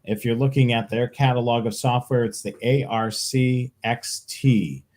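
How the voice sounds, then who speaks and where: A man's voice: medium pitch, unhurried, darkish tone. A man hosting a live show, answering tech questions in an informal, plain-spoken way. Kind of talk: casual tech Q&A talk.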